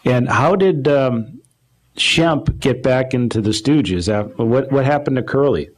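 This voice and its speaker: American man interviewing, halting and tentative. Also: medium pitch, animated. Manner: halting, tentative phrasing of questions. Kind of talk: conversational interviewing before an audience.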